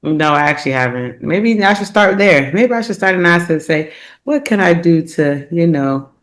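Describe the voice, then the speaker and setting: An animated woman co-hosting a podcast with a lively, swooping pitch. She talks in a loose, playful way and laughs often. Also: moderate pace. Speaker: podcast co-host. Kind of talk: casual chat.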